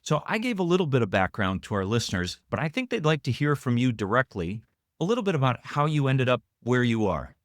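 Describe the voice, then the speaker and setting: A man's voice: mid-range voice, very animated, brisk, no room sound, clean studio sound. A man hosting a podcast, moving between prepared introductions and conversational interview questions.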